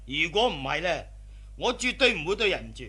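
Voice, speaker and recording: A man's voice: a little high, very animated, a little fast, bright, forceful tone, husky, heavily acted. An actor playing a hearty, shrewd middle-aged boss and indulgent father in a radio drama, effusive and colloquial. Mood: assuring, businesslike.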